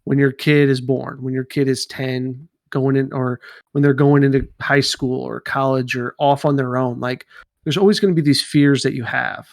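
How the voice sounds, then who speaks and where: A man in his thirties with a gravelly, fry-edged voice rambles through a casual podcast chat, stacking fillers and restarting his sentences. He sits close to a dry mic, at an ordinary middle pitch. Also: fairly even intonation, moderate pace, no echo. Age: 30s. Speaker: podcast co-host.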